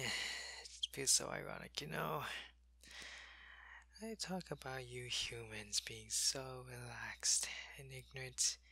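A feverish alien changeling soldier, voiced by a man for an ASMR roleplay, loyal to his queen and weak from illness. He talks slowly, in a soft, gravelly, husky half-whisper, with a bright, sharp edge to the tone, and the recording is close and dry. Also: medium pitch, no echo, full character voice. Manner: soft, weary, often whispering. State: sick and weak, in pain.

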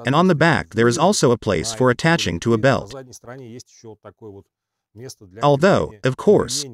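A middle-aged man with a Russian accent giving a scripted, matter-of-fact technical explanation as a presenter of radio reviews. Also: medium pitch. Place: small dim room with computer desk.

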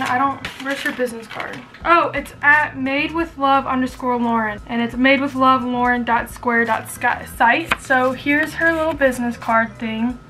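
A woman's voice: sits high, bright, forceful tone, poor audio. A young woman in her late teens chatting casually to camera in a bedroom vlog, rambling with lots of fillers. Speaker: vlogger. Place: bedroom at home, indoors.

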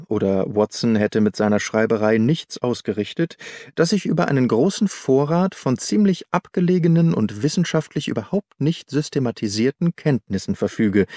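A man's voice: medium pitch, lively intonation, normal speed, darkish tone, no echo. Studio-dry male audiobook narrator. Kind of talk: scripted first-person detective story narration.

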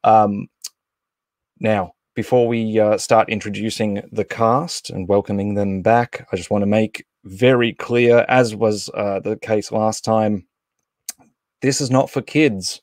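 A man's voice: lowish voice, fairly even intonation, slow, darkish tone, no echo. A man in his thirties or forties hosting a livestream, casually making announcements and introductions in an off-the-cuff, hesitant way. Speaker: livestream host.

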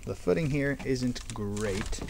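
Calm man narrating a walkthrough outdoors. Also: mid-range voice. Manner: calm, matter-of-fact narration with filler pauses. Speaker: urban explorer. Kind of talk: walking commentary. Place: outdoors and in an abandoned barn-like building. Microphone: handheld camera mic. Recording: handheld camera, clear background noise.

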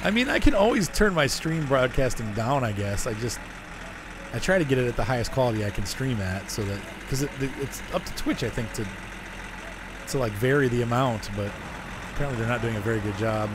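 A hoarse man chatting casually as he streams a driving game, rambling and answering his chat. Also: mid-range voice, animated, fairly quick. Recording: noisy game sound behind.

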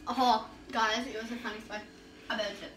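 A woman's voice: a little high, flat delivery, fairly quick, a bit bright, echoey room, far from the mic. A teenage girl, joking and chatting to viewers while she cooks in a home kitchen, filmed on a phone. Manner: bubbly and joking, talks to viewers. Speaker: vlogger cooking. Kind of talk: casual chat. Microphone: handheld phone camera. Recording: phone audio with kitchen noise.

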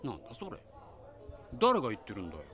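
A man's voice: fairly low pitch, wildly swooping pitch, fast, a bit bright. A man playing a kindly, wistful middle-aged family man in a Japanese voice drama, both narrating reflectively and talking in dialogue. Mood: chummy. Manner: reflective storytelling alternating with hearty, coaxing dialogue.

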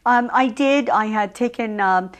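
Persian-accented woman, hesitant candidate. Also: a little high. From Iran. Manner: measured, many ums and uhs. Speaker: village trustee candidate. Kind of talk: local cable interview.

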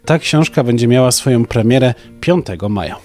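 A young man speaks as a podcast co-host, giving a prepared book review. His voice sits at an average male pitch, with music playing behind him. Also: expressive, fairly quick, darkish tone. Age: young adult. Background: background music.

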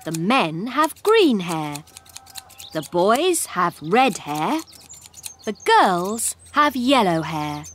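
A woman narrating a simple scripted story for English learners in a deliberate, expressive way. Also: medium pitch, a little hiss or hum.

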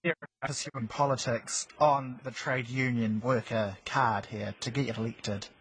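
A man's voice: mid-range voice, steady tone, a little slow, fairly bright tone, breathy edge, no echo. A man working as a radio news reporter, reading news and asking interview questions. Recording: very noisy analog shortwave radio.

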